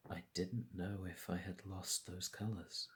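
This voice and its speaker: A man playing an anguished, brooding young man in a short film drama, muttering and halting as he talks. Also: deep voice, flat delivery, slight background noise. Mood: bleak, haunted. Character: anguished young man losing his colour vision. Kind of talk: short film drama acting, introspective monologue.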